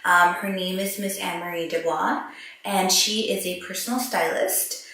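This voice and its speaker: A woman's voice: lowish voice, steady tone, normal speed, sharp tone. A woman hosting a casual interview show, bubbly and gushing, repeating words for emphasis. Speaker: host. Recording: very echoey, distant from the mic.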